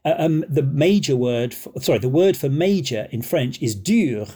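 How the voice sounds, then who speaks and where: Mellow-voiced man explaining music. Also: mid-range voice, animated, moderate pace, slightly rough. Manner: digressive, hesitant, scholarly. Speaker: guest musician. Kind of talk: chatty expert explanation.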